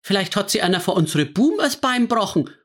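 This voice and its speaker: A man voicing an agitated elderly woman, talking fast on the telephone. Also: medium pitch, pitched above the performer's own voice, natural voice. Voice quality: raised excited voice, dialect-heavy.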